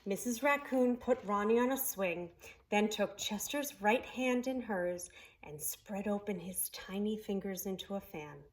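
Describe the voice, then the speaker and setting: A woman preschool teacher reading a picture book aloud in expressive storytelling narration for young children. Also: medium pitch, a little slow, slight background noise.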